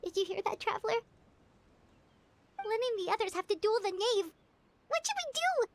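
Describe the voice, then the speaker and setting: A woman voicing a tiny, excitable girl companion in a video game, asking anxious, rushed questions. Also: very high-pitched, heavily acted. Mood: worried.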